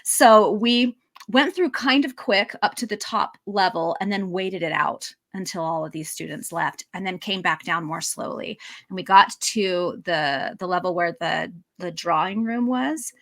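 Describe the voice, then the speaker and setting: A woman podcast co-host telling a travel story in a casual, easygoing way, pausing often as she recalls details. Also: fairly low pitch, a bit bright. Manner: easygoing anecdote-telling with frequent pauses. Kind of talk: casual chat.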